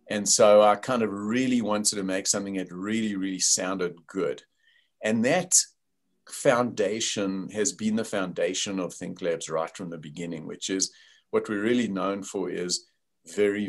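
Deep-voiced South African man. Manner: thoughtful, explanatory, with many fillers. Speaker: company founder and CEO. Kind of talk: video call interview. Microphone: video call.

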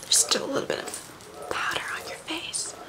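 Woman playing a whispering, vain, tipsy rich mom. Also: close to an everyday voice. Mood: flattering, tipsy. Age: young adult. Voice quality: breathy whisper.

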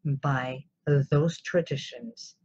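A woman's voice: rumbling low, steady tone, drawn-out words, darkish tone, husky, dull audio. A woman in her fifties from Iran, a native Armenian speaker, gives an informal motivational talk to camera as host, explaining emphatically with rhetorical questions. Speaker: host of a self-help live show.

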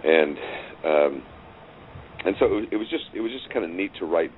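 A middle-aged man with a very low, deep voice talks casually as an interview guest. He repeats phrases for emphasis and tends to chuckle. The sound is narrow and phone-like, with some faint noise. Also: lively intonation, moderate pace. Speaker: interview guest, audio drama writer and director. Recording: tinny phone-like line, clear noise.

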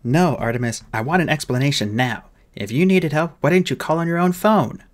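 Man voicing a high-pitched, snappish fairy policewoman. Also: lively intonation, brisk, natural voice. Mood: testy, suspicious. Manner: snappish, blunt, skeptical. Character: feisty, testy female fairy police captain.

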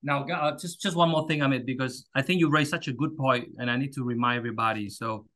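A man in his thirties hosts a coaching interview over a video call. His mid-pitched voice is bright and pushing, and he stresses his points emphatically, often repeating a word. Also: fairly even intonation, fast talker. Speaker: host, job coach. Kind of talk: interview hosting, coaching talk.

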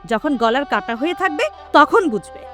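A woman's voice: high-pitched, expressive, a little fast, fairly bright tone, faint music behind. A woman playing an exasperated, scolding village mother in an audio drama, nagging and reproachful. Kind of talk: Bengali audio drama acting.

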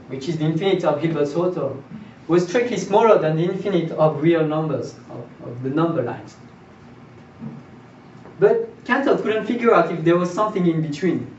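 A man's voice: a little high, a little mellow. A young man giving an informal seminar lecture, putting questions to his listeners as he explains. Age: young adult. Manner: rhetorical questions, checks in with listeners. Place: small indoor seminar room. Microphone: far camera. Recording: distant roomy mic, dull, faint noise.

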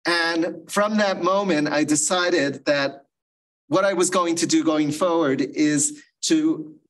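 A man's voice: a little high, slow pace, fairly bright tone. A middle-aged man from the United States giving an informal talk in a large meeting hall, telling his story with many fillers, picked up by a distant room mic. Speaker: guest educator. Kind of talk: informal lecture.

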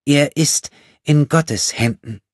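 A man voicing a prim, righteous nun in an audiobook, speaking in a sharp, defensive manner. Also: on the high side, slow pace, no echo, clean studio sound, voice pushed up. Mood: sharp, offended. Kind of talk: audiobook character acting.

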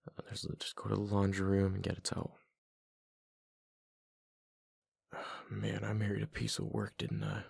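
A man playing a playfully exasperated, affectionate husband in a boyfriend ASMR roleplay, with a deep, husky voice and a halting, casual way of talking. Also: sing-song, a little mellow, dry recording, clean studio sound, heavily acted. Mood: weary, grumbling. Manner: halting, casual, muttering with repeated words.

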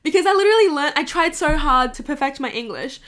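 High-voiced young woman, candid and swearing. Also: expressive, fast talker, fairly bright tone. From Hong Kong. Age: 20s. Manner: candid, swears freely, laughs through emotional bits. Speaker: vlogger. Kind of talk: casual confessional chat to camera. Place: bedroom.

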